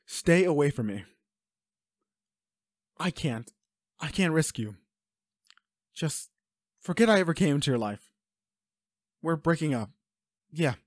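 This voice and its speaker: A man's voice: a little high, a little mellow, dry recording, clean studio sound. A man playing an anguished, protective werewolf boyfriend in an audio roleplay, speaking with urgent, pleading emotion. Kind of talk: intimate dramatic roleplay acting.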